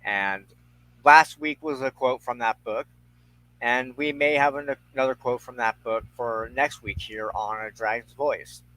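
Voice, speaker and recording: A man hosting an informal online show, speaking in a halting, deliberate way as he searches for words. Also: medium pitch, bright, forceful tone, rough recording. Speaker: host of a weekly online show. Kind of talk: informal livestream sign-off and appeal.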